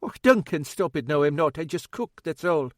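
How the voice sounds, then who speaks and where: A man's voice: a little high, lively intonation, moderate pace, no room sound, studio quality, voice pushed up, natural voice. A man voicing a timid older Scottish woman, a fretful cook, with a nervous, fussy manner and a Scottish accent. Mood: anxious.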